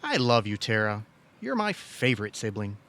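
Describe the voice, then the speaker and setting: A man playing an earnest, hesitant, credulous brother in a comedic audio play, tentative but easily excited. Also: on the low side, normal speed, noisy background. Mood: affectionate. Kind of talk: comedic audio theatre acting.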